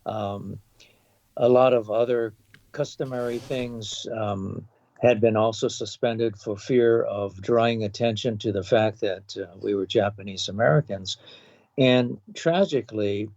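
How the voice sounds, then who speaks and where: A man in his seventies from Hawaii, a podcast guest recalling his life in a measured way, pausing often with um and uh. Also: fairly low pitch, fairly even intonation, darkish tone, no room sound. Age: 70s. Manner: measured, with frequent um and uh pauses. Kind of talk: thoughtful reminiscing interview. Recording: dull, lo-fi dry recording.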